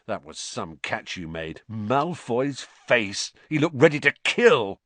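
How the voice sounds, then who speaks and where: A cheeky teenage boy wizard, played by a man reading aloud talks bluntly and teasingly. The reading is dry and close, with lively pitch. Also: slow pace, dry recording, exaggerated voice.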